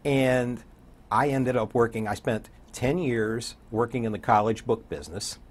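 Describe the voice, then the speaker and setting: An older man around seventy, a radio DJ chatting as an interview guest in a folksy, wry way with a husky voice. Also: medium pitch, measured pace. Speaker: guest, radio program director and DJ. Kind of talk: casual interview chat. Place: TV studio set, seated.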